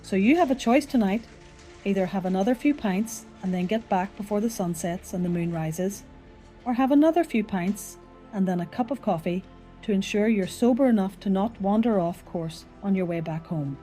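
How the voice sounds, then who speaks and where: A man voicing a perky young Irish barmaid with a smooth, honeyed brogue, spinning a spooky tale. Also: sits high, expressive, moderate pace, dark tone, faint music behind, pitched above the performer's own voice. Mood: teasing. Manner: playful, dramatic flair.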